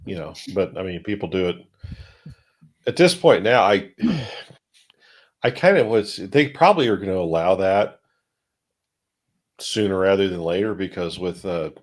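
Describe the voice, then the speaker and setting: A man with a deep, slightly rough and husky voice talks casually through technical matters. He explains in a loose, rambling way, qualifying his points as he goes, like a co-host on a panel show. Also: lively intonation, unhurried, long pauses.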